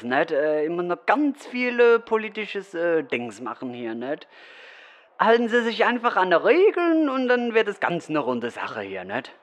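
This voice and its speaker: An actor playing an evasive, bumbling provincial governor in a satirical audio drama, hesitant and rambling. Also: male voice, high-pitched, full character voice. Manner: hesitant, rambling, repetitive verbal tic. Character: bumbling, evasive male provincial governor, a politician dodging questions.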